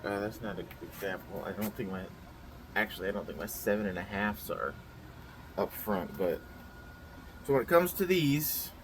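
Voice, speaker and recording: A man's voice: lowish voice, a bit bright, slight background noise, rough recording. A young man chatting casually through his daily vlog plans, a little nasal and rambling with lots of fillers. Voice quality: slightly nasal. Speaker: vlogger.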